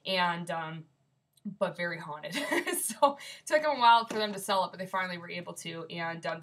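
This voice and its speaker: Congested woman, bright chatty voice. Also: fairly low pitch. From Michigan. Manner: chatty, rambling with many fillers. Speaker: ghost story submitter. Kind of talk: casual video submission. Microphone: webcam.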